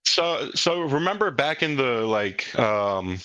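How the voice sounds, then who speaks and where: A man's voice: medium pitch, very animated, takes it slowly, pushed and bright, dry recording. A man speaking casually and off the cuff as a project leader on a group call, earnest and emphatic, often repeating himself for stress. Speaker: crypto project leader hosting a community call. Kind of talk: casual unscripted group audio talk.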